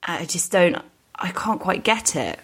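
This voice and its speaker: A woman's voice: fairly low pitch, very animated, unhurried, breathy edge. A woman co-hosting a podcast in casual chat, musing aloud and repeating phrases for emphasis. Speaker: podcast co-host.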